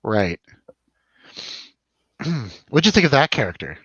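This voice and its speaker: Male show host, mid-pitched, easygoing and a little hesitant, casual review chat, dry and slightly muffled recording. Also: big pitch swings, takes it slowly, a bit bright, husky, no room sound.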